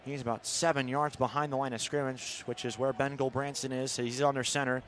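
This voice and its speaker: A man's voice: mid-range voice, level tone, brisk. An American man calling college football play-by-play live on the radio from a stadium. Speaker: play-by-play radio sports commentator. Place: football stadium broadcast position. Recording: outdoor stadium background noise.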